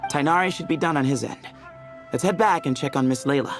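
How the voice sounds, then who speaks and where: A man's voice: a little high, brisk, over music, lightly acted. A man voicing a laid-back, teasing young temple leader who chuckles and jokes in game cutscene dialogue. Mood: easygoing.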